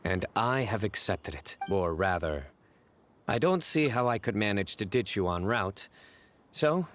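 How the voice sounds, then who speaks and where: An actor playing a stern, reserved young desert lawman in a video game, speaking in a measured, formal, deadpan way with some weariness. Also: lowish voice. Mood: resigned.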